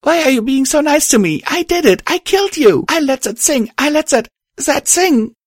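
Shrill, distraught guilt-ridden young mare. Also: female voice, expressive, normal speed. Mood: hysterical guilt. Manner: anguished, pleading outbursts.

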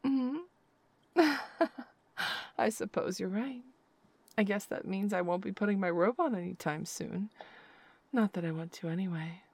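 A woman voicing a tender, possessive noblewoman lover in an intimate audio roleplay, talking in soft, slow whispers with quiet laughs. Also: sits high, very animated, no echo.

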